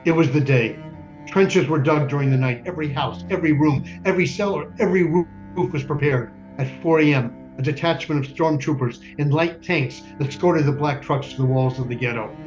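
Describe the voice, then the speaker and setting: Grave, high-voiced male narrator played by an actor. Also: natural voice. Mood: grave, tense. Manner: grave, deliberate, declamatory, with emphatic lists. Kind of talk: dramatic scripted stage narration. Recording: dull, lo-fi stage recording. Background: music.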